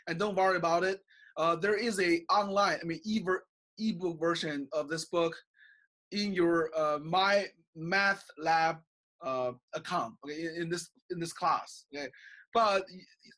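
A man's voice: fairly high pitch, fairly bright tone. A middle-aged man from China with a Chinese accent, a college professor recording an online class lecture in his office over a webcam, tagging his sentences with "okay?" and many "uh" fillers. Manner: frequent "okay?" and "uh" fillers, gesturing while talking. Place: small office with bookshelves. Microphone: webcam video call at desk.

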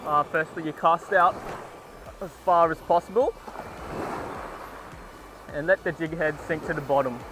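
A young man narrating his beach fishing vlog in a steady, instructional way, recorded outdoors on an action camera held at arm's length. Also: on the high side, a little slow, pauses often, background noise. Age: young adult. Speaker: fishing vlogger. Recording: music playing under the voice. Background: music.

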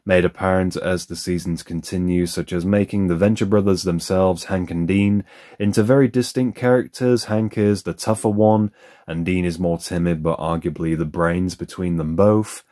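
A man's voice: low voice, fairly even intonation, normal speed, mellow. A man giving a candid, conversational voice-over for an unboxing review, sharing his opinions as he goes.

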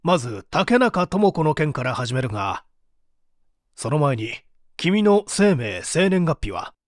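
Man acting a formal, probing prosecutor, higher-pitched voice. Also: very animated, takes it slowly, no echo, studio quality. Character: composed, formal male public prosecutor questioning a suspect. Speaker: voice actor reading a radio drama. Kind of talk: dramatized mystery reading.

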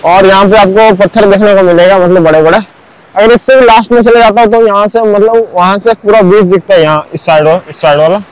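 A man's voice: sits high, steady tone, brisk, smooth, poor audio. A young man narrating a casual walking tour of a beach at sunrise, chatty, with lots of filler words. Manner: chatty, keeps saying "bhai" and "matlab". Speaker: vlogger.

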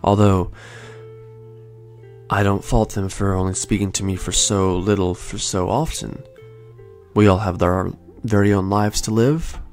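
A young man in his twenties hosting a podcast, telling his own story in a soft, slow, soothing way. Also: lowish voice, steady tone. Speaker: podcast host. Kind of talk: calm reflective storytelling. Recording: faint lo-fi music bed. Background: soft lo-fi music.

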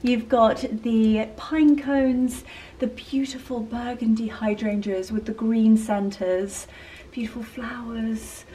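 A woman's voice: a little high, fairly even intonation, slow pace, warm tone. A young woman presenting a house tour vlog in an effusive, enthusiastic manner. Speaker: lifestyle vlogger. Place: large rooms inside a house. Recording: roomy, distant camera mic, noisy.

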